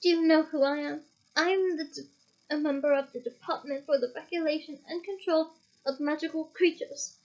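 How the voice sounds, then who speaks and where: A woman's voice: very high voice, brisk, a little mellow, muffled recording. A woman reading a stern, barking wizard official. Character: stern official wizard, middle-aged man, accusing.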